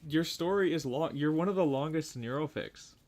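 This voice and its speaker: A man's voice: on the high side, normal speed, darkish tone. A man chatting casually as a host in a group discussion.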